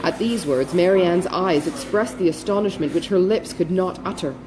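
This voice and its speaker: A woman reading literary narration as an audiobook narrator, with expressive, careful delivery. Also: on the low side, moderate pace, noisy background.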